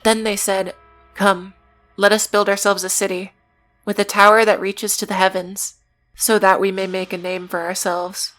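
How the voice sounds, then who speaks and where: A woman presents a scripted video essay in a mid-pitched voice that stays fairly flat. Her delivery is conversational, with casual asides to the viewer. Faint music plays behind her. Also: normal speed. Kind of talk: scripted video essay narration with read-out quotes. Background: background music.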